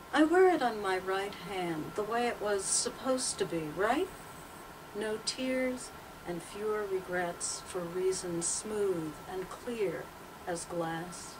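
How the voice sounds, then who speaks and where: An older woman poet and teacher speaking and reading aloud with measured, deliberate phrasing. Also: mid-range voice. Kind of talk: interview talk and poetry reading aloud. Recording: distant mic, clearly noisy background.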